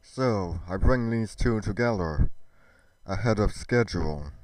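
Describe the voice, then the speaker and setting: A man playing a cold, scheming goddess who speaks in a hushed, measured and formal way. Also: lowish voice, lively intonation, no echo, poor audio. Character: cold, scheming goddess, proud wife and mother.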